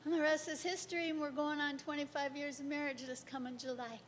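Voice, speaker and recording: A middle-aged woman from the congregation telling a personal faith story to a church group as a lively storyteller. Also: fast. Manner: lively storyteller, laughs between lines. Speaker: congregation member sharing testimony. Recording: far off in a large echoing hall, faint rumble.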